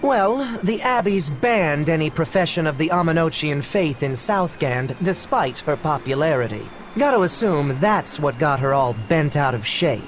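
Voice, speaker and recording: A woman's voice: low-pitched, expressive, moderate pace, few pauses, a little hiss or hum. A woman playing an easygoing shopkeeper in a video game cutscene, talking in a casual, folksy way.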